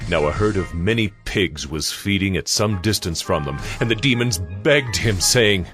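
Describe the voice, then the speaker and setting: A man's voice: low voice, lively intonation, moderate pace, music in the background. A man narrating scripture from a script in an audio drama.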